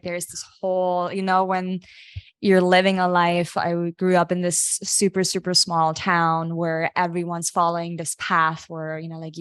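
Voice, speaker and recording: A young woman from Austria telling her own story as a podcast guest, candid and animated, often quoting her own past thoughts. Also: on the low side, smooth, dry recording, studio quality. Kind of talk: casual storytelling.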